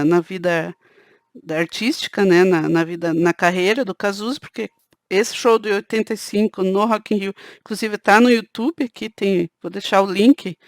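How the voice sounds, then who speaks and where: A woman with a southern Brazilian caipira lilt, speaking in a relaxed, chatty way straight to her viewers. Her voice sits at an ordinary middle pitch. The sound is close and dry, with no room echo. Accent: Brazilian Portuguese, southern countryside (caipira). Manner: conversational, peppers sentences with "né". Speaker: channel host. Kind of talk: casual talk to camera.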